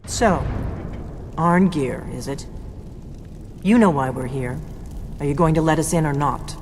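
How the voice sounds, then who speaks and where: An actress playing a defiant veteran agent, curt and confrontational, in a fantasy game. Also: female voice, low voice, a little slow, a little mellow, slight background noise. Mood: impatient. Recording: prominent game music behind.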